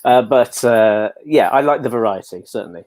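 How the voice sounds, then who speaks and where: A man's voice: mid-range voice, animated, moderate pace, darkish tone, no echo. An English man chatting casually and telling anecdotes as an interview guest, with a jokey, self-deprecating manner. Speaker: interview guest, pantomime dame performer.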